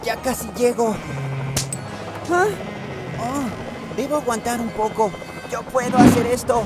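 Eager little boy train, high voice, latin american accent, kids cartoon, music behind. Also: takes it slowly. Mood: determined. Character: cheerful, eager young boy tank engine. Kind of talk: children's cartoon dubbing.

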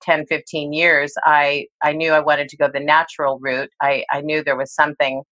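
An older woman, a guest expert, telling her story in a reflective, measured way during an interview. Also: low voice, flat delivery, fairly bright tone, no room sound, muffled recording.

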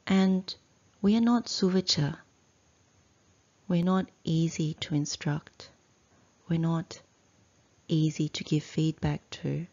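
A woman teacher leading a guided meditation in a measured, deliberate way, breaking her sentences into short phrases with long pauses. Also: deep voice, fairly even intonation, darkish tone, highs cut off. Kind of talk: slow guided meditation instruction.

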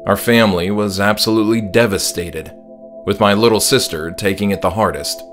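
A man's voice: fairly low pitch, steady tone, a little fast, a bit bright, soft background music. A man reading his own horror story aloud as a scripted first-person audiobook narration.

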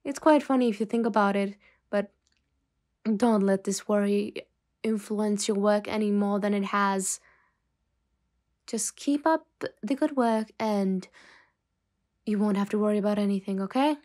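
A woman playing a stern but softening mafia boss in an intimate ASMR roleplay, speaking in measured phrases with pauses, often nearly whispering. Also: medium pitch, close to an everyday voice. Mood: calm, reassuring.

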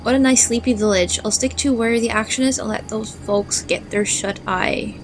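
A woman narrating her playthrough of a game, chatting, reading text aloud and reacting with quick startled exclamations. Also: on the high side, faint music behind, poor audio. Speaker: gaming video host.

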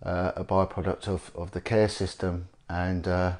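Halting man with a cold. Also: deep voice, flat delivery, a little mellow. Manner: halting, many fillers and restarts. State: has a cold. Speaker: interviewer, former police detective. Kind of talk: sit-down interview. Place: living room, indoors. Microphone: lapel mic.

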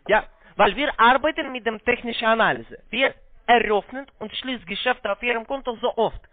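A man with a foreign accent giving a halting, repetitive, polite phone sales pitch in broken, non-native German. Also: dry recording. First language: not German. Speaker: call center investment salesman on a scam call. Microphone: phone call. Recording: narrow-band phone line sound.

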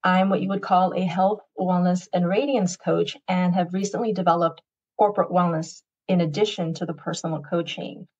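A woman in her fifties, a retired nurse whose first language is Tagalog, tells her wellness story in an earnest, measured way on a video call. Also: lowish voice, steady tone, a little mellow. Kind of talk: podcast guest story. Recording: muffled lo-fi sound.

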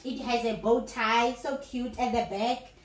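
High-voiced South African woman vlogging. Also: unhurried. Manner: excited, expressive. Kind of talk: casual vlog chat mixing in another language. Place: living room and kitchen at home.

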